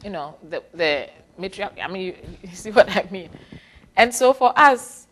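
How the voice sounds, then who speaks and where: A woman's voice: mid-range voice, lively intonation, moderate pace, a bit bright, a little hiss or hum. A middle-aged woman from Ghana whose first language is Fanti, speaking thoughtfully in an interview, with frequent small laughs and restarts. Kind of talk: reflective personal interview.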